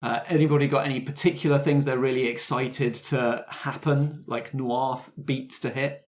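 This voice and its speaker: A man's voice: mid-range voice, fairly even intonation, moderate pace. A man hosting and game-mastering a tabletop roleplay show, moving between announcements, narration and chat.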